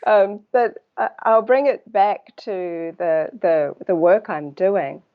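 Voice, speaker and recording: A woman with a New Zealand accent, a water researcher speaking as a guest in a casual interview, thoughtful and unhurried, often pausing to search for words. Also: mid-range voice, highs cut off.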